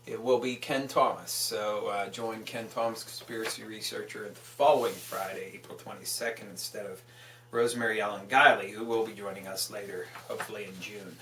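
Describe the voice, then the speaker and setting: A man's voice: lowish voice, fairly even intonation, a little fast, pushed and bright. A man hosting his own online show, speaking in an emphatic, profane way. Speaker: online radio show host. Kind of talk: political rant and show announcements. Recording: roomy echo, distant from the mic.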